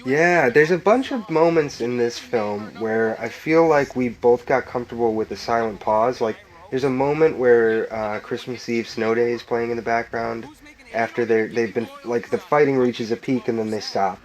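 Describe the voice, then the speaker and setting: A man's voice: mid-range voice, normal speed, rough recording. Enthusiastic man in film commentary. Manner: enthusiastic, chatty, frequent "like" fillers and laughs. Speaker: filmmaker. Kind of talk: director's commentary chat.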